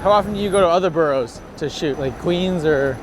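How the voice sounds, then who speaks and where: High-voiced man interviewing on a noisy street. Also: brisk. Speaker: interviewer, host. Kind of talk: casual conversational questions. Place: outdoors on a city street. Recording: noisy outdoor city street with traffic. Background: urban street ambience, vehicles.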